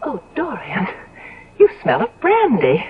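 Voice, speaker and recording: An actress playing a devoted, proper young fiancée in a 1970s radio drama, with measured, emotive and formal phrasing. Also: female voice, sits high, huge pitch swings, exaggerated voice. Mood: reproachful. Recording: dull lo-fi old radio broadcast.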